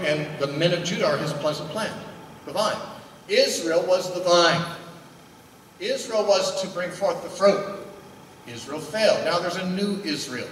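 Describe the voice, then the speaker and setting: Older male preacher, high-pitched, teaching tone, asks and answers his own questions, echoey hall, heard from a distance. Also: slight background noise. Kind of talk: sermon. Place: indoor meeting room. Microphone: handheld mic.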